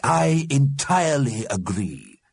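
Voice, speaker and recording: A man's voice: medium pitch, very animated, slow, vocal fry, a little mellow, husky, no echo, studio quality, pitched above the performer's own voice, full character voice. A man voicing a stern, sanctimonious dead man who admonishes pompously, in a storybook reading. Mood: admonishing.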